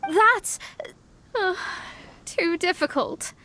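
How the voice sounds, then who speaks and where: A woman's voice: very high voice, very slow, pushed and bright, full character voice. A woman playing a haughty, dramatic young astrologer in an anime game, with pompous formal wording and an easily flustered temper. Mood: despairing, flustered.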